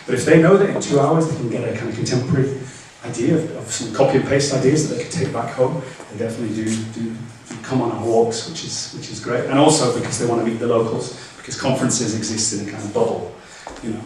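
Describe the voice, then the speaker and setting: A man's voice: medium pitch, fast, few pauses, a little mellow, a little hiss or hum. A man, a guest speaker, answering audience questions after a talk, hesitant with many restarts and fillers. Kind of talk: Q&A after a lecture. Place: lecture room. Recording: echoey room from far off.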